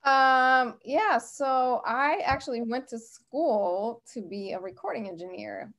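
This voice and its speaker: A middle-aged woman with an American accent and a fairly high voice. She is a podcast guest telling personal anecdotes. Her delivery is informal and conversational, full of little asides. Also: slow pace, a bit bright. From United States, West Coast. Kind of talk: casual anecdotal chat.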